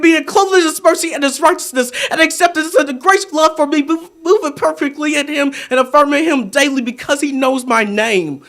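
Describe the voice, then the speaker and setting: A young man with a strikingly high voice performs spoken word poetry. His delivery is theatrical and impassioned, leaning hard on key phrases in the manner of a dramatic faith testimony. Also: sharp tone. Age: 20s.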